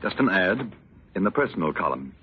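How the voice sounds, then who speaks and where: An actor playing a sardonic, menacing crippled politician in a 1940s radio drama, mockingly polite and theatrical. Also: male voice, deep voice, very animated, brisk. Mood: gloating. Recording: muffled, noisy old radio recording.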